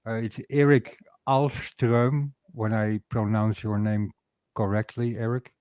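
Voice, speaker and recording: A man's voice: lowish voice, little pitch movement, slow pace, darkish tone, clean tone, no room sound. Hesitant male host, dry close sound. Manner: hesitant, heavy on "uh" fillers. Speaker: podcast host. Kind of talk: interview hosting.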